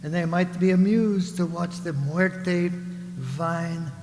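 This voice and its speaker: A man playing a scheming onion ruler in a radio play, courteous and pompous with a smooth menace. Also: high voice, slow pace, music in the background. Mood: smoothly threatening. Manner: pompous, mock-genteel menace. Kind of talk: theatrical radio drama acting. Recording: echoey hall with long reverb.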